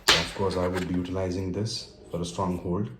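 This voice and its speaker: A man with an Indian accent narrating an unboxing casually and unscripted, in halting phrases broken by pauses. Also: low voice, level tone. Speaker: tech and motorcycle vlogger. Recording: roomy echo with faint background noise.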